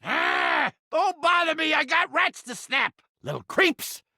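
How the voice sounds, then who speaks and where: A brash, cranky talking rat trap from a comedic video game, with a thick-skinned Brooklyn street-tough manner and accent. The voice is sharp and forceful and swings wildly up and down. It is recorded close and dry, and every line is theatrical and wisecracking. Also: male voice, very high-pitched, sing-song, slow, gravelly, no room sound, exaggerated voice. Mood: irritable. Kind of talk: exaggerated comedic video game character acting.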